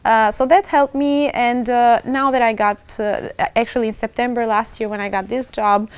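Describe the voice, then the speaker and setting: A woman's voice: fairly high pitch, steady tone, normal speed, clear, resonant tone, no echo. A woman in her twenties with an Albanian accent, a student answering interview questions casually in English. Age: 20s.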